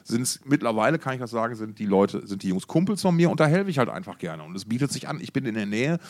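A man's voice: mid-range voice, big pitch swings, quick pace. Casual, wry man telling podcast anecdotes. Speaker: podcast co-host.

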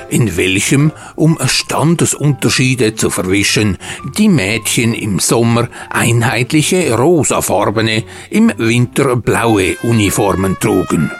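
A man hosting and narrating from a script in formal, ornate phrasing. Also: medium pitch. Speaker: narrator and host of audio drama show.

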